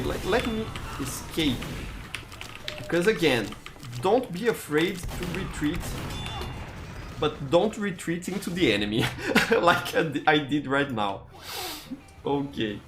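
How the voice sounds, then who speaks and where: Male streamer, Brazilian accent, animated delivery, halting word-searching, background music on the stream. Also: fairly high pitch. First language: Portuguese. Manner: halting, often repeats words while searching for them. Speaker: game streamer. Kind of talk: casual gameplay commentary, answering chat.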